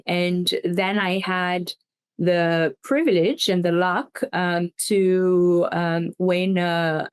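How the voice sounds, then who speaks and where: A woman's voice: on the low side, smooth. A woman from Albania, a foundation investment head, answering interview questions thoughtfully with frequent ums. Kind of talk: interview guest.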